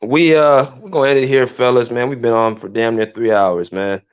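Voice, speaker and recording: A man hosting a basketball podcast in casual, relaxed livestream chat. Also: medium pitch, brisk, clean tone. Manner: relaxed, conversational, drawling "man" and "dude". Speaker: podcast host. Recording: muffled lo-fi livestream audio.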